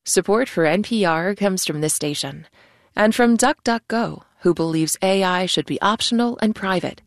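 A woman reading sponsor credits for a radio network in a crisp, even, informational way. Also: mid-range voice, normal speed, no echo, clean studio sound. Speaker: sponsorship announcer. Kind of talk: scripted underwriting read.

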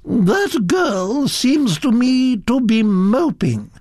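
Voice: mid-range voice, big pitch swings, very slow, darkish tone, no room sound, clean studio sound, pitched above the performer's own voice, exaggerated voice. A narrator voicing an imperious, gossipy elderly Duchess in a storybook reading, with a fussy, exclamatory manner. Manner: autocratic, exclamatory, fussy hesitations.

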